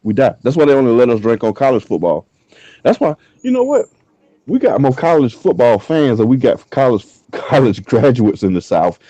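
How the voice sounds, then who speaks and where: A man's voice: medium pitch, big pitch swings, moderate pace, warm tone, dry recording, dull audio. A man in his thirties from the US South, co-hosting a podcast, telling loose, profane stories in casual chat. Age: 30s. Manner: loose, profane storytelling with frequent laughs. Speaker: podcast co-host.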